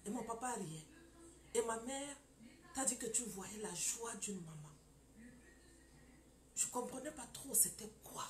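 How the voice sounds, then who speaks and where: A woman's voice: fairly high pitch, animated, unhurried, long pauses. Impassioned woman preaching in an echoey room. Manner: impassioned, repeats phrases, rhetorical questions. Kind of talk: preaching testimony talk. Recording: echoey room with faint ambience.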